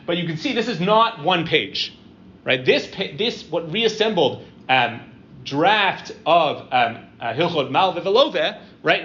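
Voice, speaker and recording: Middle-aged male lecturer, slightly high voice, talks in stops and starts with constant "right?" checks, dull muffled room recording with faint background hum. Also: fairly bright tone. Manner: conversational, halting, frequent "um" and "right?" tags. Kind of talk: university-style lecture with audience questions.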